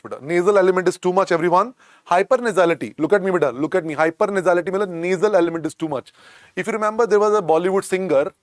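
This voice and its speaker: A man's voice: on the high side, no room sound, clean studio sound. A man with an Indian English accent teaching a medical exam class, drilling each point over and over and calling students "beta". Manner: repetitive, drills phrases, calls students "beta". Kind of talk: classroom lecture. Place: lecture room.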